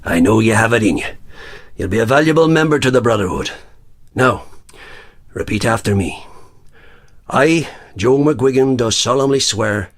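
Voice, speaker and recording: An actor playing a solemn, commanding older republican recruiter in a radio play. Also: male voice, medium pitch, expressive, normal speed, natural voice. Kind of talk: radio drama dialogue.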